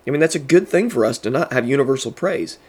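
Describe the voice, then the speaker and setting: A man's voice: mid-range voice, expressive, fast, a little mellow, slightly rough. A man with a mid-range voice, stuffed up and congested, talking to fellow pastors in an earnest, preacherly way. He leans into his key points as a podcast host giving devotional teaching.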